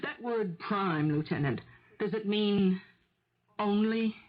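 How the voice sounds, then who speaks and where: An actress in a 1970s radio drama playing a desperate, stubborn middle-aged mother who argues insistently for her accused son, with a husky voice. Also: medium pitch, takes it slowly, close to an everyday voice. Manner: insistent, argumentative, pleading. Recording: dull, lo-fi old radio recording.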